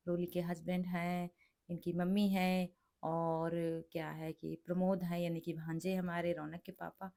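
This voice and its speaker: A middle-aged woman with a hoarse, cracked voice from a sore throat, chatting through a home cooking vlog and explaining each step. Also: lowish voice, darkish tone. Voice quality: cracked, rough voice. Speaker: vlogger cooking for guests. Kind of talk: casual cooking vlog narration.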